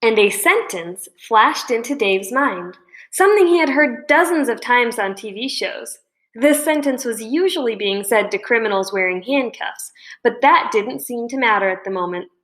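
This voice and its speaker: A woman reading a children's novel aloud like a teacher, with deliberate, dramatic emphasis. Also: a little high, expressive, a bit bright, echoey room. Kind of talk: expressive children's storybook narration.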